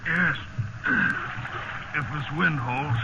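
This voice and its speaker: A shipwrecked seaman talks slowly and firmly in a higher-set voice. He is plain and skeptical, in 1950s radio drama style. Loud water noise surrounds the muffled voice. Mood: sober.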